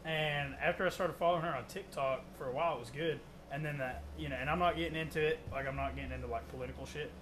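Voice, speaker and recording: A young man co-hosting a podcast, trading crude, excitable banter and laughing often. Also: on the high side, quick pace, few pauses. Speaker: podcast co-host. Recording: noisy podcast recording.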